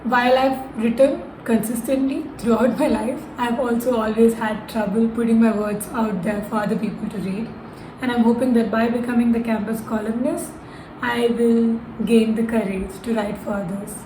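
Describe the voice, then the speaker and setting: Female student, young adult, prepared self-introduction, roomy echo and clear background noise. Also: fairly high pitch, steady tone, moderate pace, dark tone. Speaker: university student, columnist nominee. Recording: echoey room with noticeable noise.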